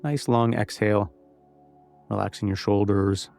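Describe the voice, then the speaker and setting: Low-pitched, gravelly male meditation guide. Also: fairly even intonation, measured pace, darkish tone, faint music behind.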